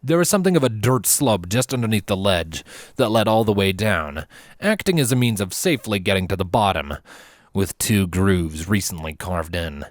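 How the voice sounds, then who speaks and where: A man reading a scripted fantasy story as narrator, in a measured, dramatic and solemn way. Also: deep voice, expressive, no echo.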